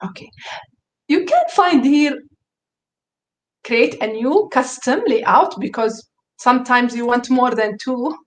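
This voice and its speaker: A fairly high-voiced woman whose first language is Arabic walks teachers through a screen-share tutorial. She speaks slowly and hesitantly, with many fillers and restarts, and sounds enthusiastic. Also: low-quality recording. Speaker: teacher presenting.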